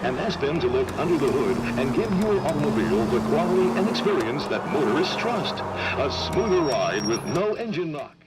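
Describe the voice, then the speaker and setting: A slick 1940s American radio pitchman, salesmanlike and polished. The voice sits a little high and swings brightly through the copy. Heavy car engine noise competes with it. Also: big pitch swings, fairly quick. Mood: upbeat, selling. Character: smooth upbeat male 1940s radio advertising announcer.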